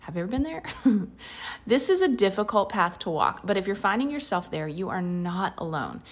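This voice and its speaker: A woman's voice: mid-range voice, big pitch swings, moderate pace. Earnest woman hosting a faith podcast. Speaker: podcast host. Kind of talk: faith podcast intro and interview.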